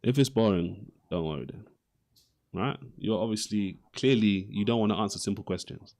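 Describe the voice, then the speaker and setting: A man's voice: lowish voice, moderate pace, a little mellow. A man arguing in an unscripted live debate as its host, insistently repeating and restarting his phrases.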